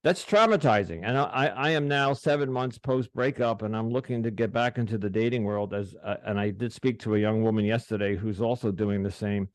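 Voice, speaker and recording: Halting male podcast host, dry sound. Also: fairly low pitch, dry recording. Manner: halting, many restarts and fillers. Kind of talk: casual interview, personal disclosure.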